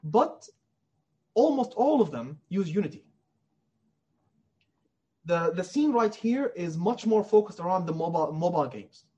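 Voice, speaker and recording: Male indie developer, high-pitched and slightly raspy, earnest and emphatic in a conversational interview. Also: expressive, unhurried, long pauses, warm tone. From Middle East.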